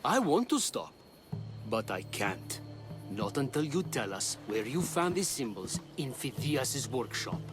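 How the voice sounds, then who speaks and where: Cruel game thug: mid-pitched, very animated, taunting, music behind. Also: male voice, unhurried. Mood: menacing. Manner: threatening, taunting. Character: menacing armored male interrogator, cruel, mocking. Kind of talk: video game voice acting.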